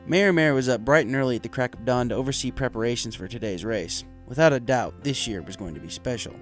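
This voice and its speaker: A man's voice: mid-range voice, quick pace, faint music behind. A man reading a story aloud as narrator, in a scripted storytelling style. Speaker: story reader.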